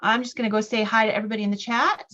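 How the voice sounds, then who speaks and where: A woman's voice: fairly high pitch, little pitch movement, rapid-fire, fairly bright tone, muffled recording. A woman hosting a casual quilting livestream, upbeat and greeting viewers one after another by name.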